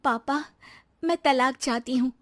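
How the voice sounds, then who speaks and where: A woman voicing an earnest, dutiful young daughter-in-law in an animated moral story, speaking in a pleading manner. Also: high-pitched, measured pace. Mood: resolute.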